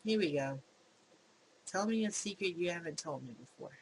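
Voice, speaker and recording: A woman's voice: on the low side, lively intonation, moderate pace, slight background noise, lightly acted, rough recording. A woman voicing a wry, exasperated wife in a visual novel, reading her lines dramatically.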